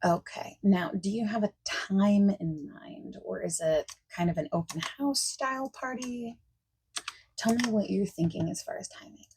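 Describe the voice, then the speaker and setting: A woman playing a friendly, accommodating party planner in a softspoken ASMR roleplay, chatting casually and reassuringly, at times nearly whispering. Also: medium pitch, lightly acted. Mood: reassuring, helpful. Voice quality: soft-spoken, breaking into near-whispers. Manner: casual, reassuring, chatty, pausing for unheard replies. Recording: faint noise, keyboard typing and clicking.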